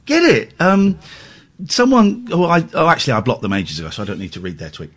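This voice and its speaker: A male radio host in a studio who teases his callers and cuts in on them bluntly. His voice sits fairly high and swings widely in pitch as he talks. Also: huge pitch swings, fairly quick, fairly bright tone. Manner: blunt, teasing, interrupting. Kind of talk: late-night phone-in chat.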